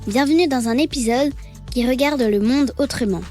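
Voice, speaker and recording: A woman podcast host reading a scripted introduction in a measured, poetic way and asking interview questions. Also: high-pitched, darkish tone, faint music behind.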